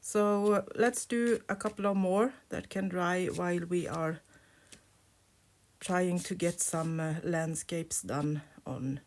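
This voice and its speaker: A woman with a sore throat hosting a craft tutorial, talking softly with many pauses and fillers. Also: fairly low pitch, fairly even intonation. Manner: soft-spoken, hesitant with many uh's, drops to murmurs while painting. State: sore throat, nearly lost voice. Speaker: crafting channel host. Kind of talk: relaxed craft tutorial voice-over.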